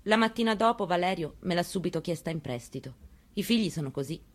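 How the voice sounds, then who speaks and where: A woman reads a memoir aloud in the first person, in a lowish voice. Her telling is intimate and close, like recalling a morning at home. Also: animated, quick pace. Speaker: reader. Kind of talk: dramatic memoir reading.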